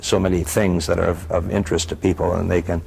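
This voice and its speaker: An elderly man, a retired priest, reminiscing in an interview in halting, folksy phrases. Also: sits low, little pitch movement, gravelly, darkish tone, breathy edge. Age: 60s or older. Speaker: retired priest and sports announcer.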